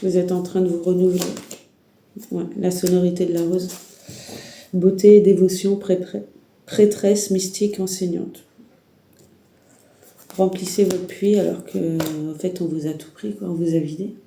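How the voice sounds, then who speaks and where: Gravelly, congested woman giving tarot guidance. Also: lowish voice, flat delivery, normal speed, pauses often, mellow, roomy sound, slight background noise. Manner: hesitant, rambling, many restarts and fillers. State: stuffy head cold, sore throat. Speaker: tarot reader. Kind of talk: spiritual guidance monologue to the viewer.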